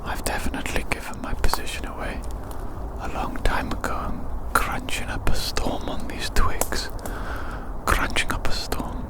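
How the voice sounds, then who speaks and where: ASMR creator whispering softly. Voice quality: breathy, unvoiced whisper. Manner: slow, soothing, repeats phrases softly. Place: outdoors in winter woods and hillside field.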